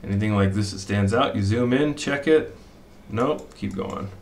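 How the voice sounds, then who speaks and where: A man's voice: on the low side, moderate pace, a little hiss or hum. Man explaining in a roomy echo. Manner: explanatory, thinking aloud with fillers. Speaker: fishing spot analyst. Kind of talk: screen-share walkthrough. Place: small room at a desk.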